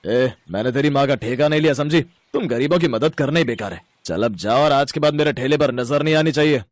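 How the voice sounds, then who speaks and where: A man's voice: mid-range voice, brisk, dry recording, natural voice. Man playing greedy, bullying merchant boss, dry sound. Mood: harsh, bullying. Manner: haughty, blunt, scolding.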